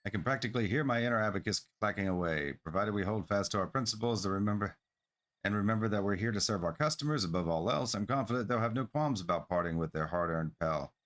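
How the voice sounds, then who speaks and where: Guy reading out an eager earnest fantasy game travel agent, talks real fast, lowish voice, upbeat and businesslike. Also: lively intonation, fairly bright tone. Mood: earnest, determined. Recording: music behind the voice.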